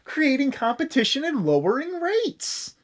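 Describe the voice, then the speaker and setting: An American man co-hosting a comedy podcast, explaining history in casual, profane, animated chat. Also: extremely high pitch, measured pace. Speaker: podcast co-host.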